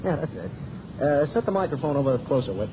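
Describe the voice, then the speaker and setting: A man's voice: a little high, big pitch swings, fast. An actor playing a nervous, wisecracking American newsreel cameraman, hesitant and slangy, in vintage radio drama style. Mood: brisk. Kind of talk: 1930s-40s radio acting. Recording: muffled, noisy old radio recording.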